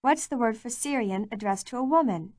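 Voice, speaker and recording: A woman narrating a language course, reading scripted prompts in a clear, measured way. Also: on the high side, lively intonation, darkish tone, dry recording, studio quality.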